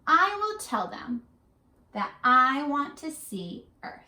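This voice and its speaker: A woman's voice: high-pitched, sing-song, slow pace, pushed and bright, voice pushed up, heavily acted. A teacher voicing an eager young girl in a storybook read-aloud, with an earnest, wide-eyed manner. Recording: echoey room, distant mic.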